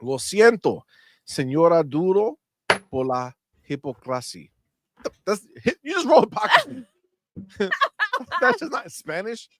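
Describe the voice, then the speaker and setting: A man co-hosting a casual gaming live stream, stuffy-nosed, talking in an animated way, swearing freely and laughing often. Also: high voice, unhurried, pauses often, a little hiss or hum. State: stuffy nose. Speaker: gaming podcast co-host.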